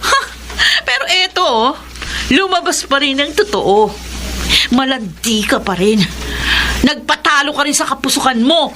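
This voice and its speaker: A jealous, spiteful older sister in a radio drama. Her high, sharp young voice taunts and accuses, with faint ambience behind it. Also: animated, unhurried, a little hiss or hum, heavily acted. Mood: mocking, bitter. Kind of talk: Tagalog radio drama acting.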